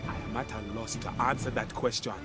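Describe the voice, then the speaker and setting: A man's voice: medium pitch, fairly even intonation, a little fast, lightly acted. A man playing a grim, embittered city guard in a fantasy video game, speaking in a brooding, earnest, dramatic way. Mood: grave, urgent. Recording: game music behind.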